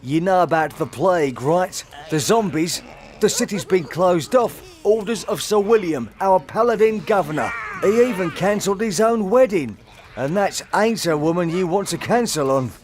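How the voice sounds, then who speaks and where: A man's voice: high-pitched, animated, moderate pace, a little hiss or hum. An actor voicing a nervous, gossipy young guard who defers to his superior in a video game. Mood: explaining. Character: young male camp guard, chatty and nervous.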